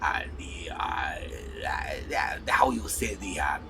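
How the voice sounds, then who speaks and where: A man voicing a vague wandering male scholar, halting and full of long pauses. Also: low voice, expressive, raised voice, music in the background, voice pushed down. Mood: distracted. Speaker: player voicing a character.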